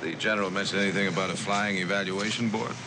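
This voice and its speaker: Actor as gruff military pilot, noisy and distant. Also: male voice, fairly even intonation, fast talker, lightly acted. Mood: terse, dry. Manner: terse, businesslike. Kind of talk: mid-century suspense drama acting. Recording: noisy vintage soundtrack, distant mic, engine rumble.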